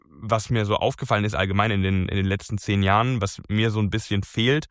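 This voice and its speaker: A man's voice: fairly low pitch, steady tone, brisk, a bit bright, no room sound, studio quality. A man hosting a casual conversation, talking hesitantly and searching for his words. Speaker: host.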